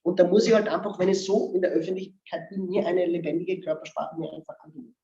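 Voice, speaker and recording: A man with an Austrian accent, a guest speaker on humor, talking in a lively, joking way in casual talk-show chat. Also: high-pitched, fast, roomy sound, dull audio. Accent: Austrian German.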